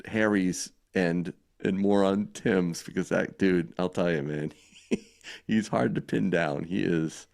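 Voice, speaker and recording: A man's voice: sits low, takes it slowly, vocal fry. A man with a Boston accent, co-hosting a live stream in casual chat, laughing often. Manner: laughs often, lively and chatty. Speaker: co-host.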